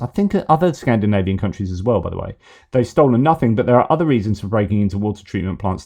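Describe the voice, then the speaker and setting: A man's voice: fairly low pitch, dark tone. A man hosting a news commentary show, reading articles aloud in a conversational way, breaking off for asides and correcting himself mid-sentence. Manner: conversational, reads quotes with asides and self-corrections.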